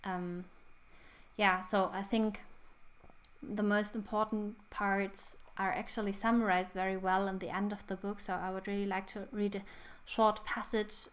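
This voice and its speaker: A woman's voice: medium pitch, flat delivery, a little slow. A young woman, thoughtfully hosting a casual book review. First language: German. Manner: thoughtful, reflective. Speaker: book channel host.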